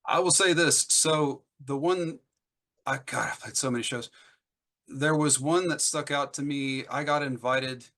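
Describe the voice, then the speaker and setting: Casting: middle-aged man, crisp forward tone, mid-range pitch, enthusiastic interview guest who rambles through anecdotes. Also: a little slow, a bit bright. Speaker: interview guest, singer-songwriter. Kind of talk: casual anecdotal chat.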